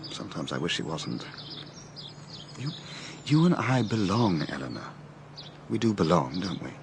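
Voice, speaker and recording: An actor playing a troubled, conflicted young gentleman in a British radio drama, well-spoken and earnest, with an English accent. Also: deep voice, sing-song, moderate pace, vocal fry, background noise, exaggerated voice. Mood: anguished, confessing.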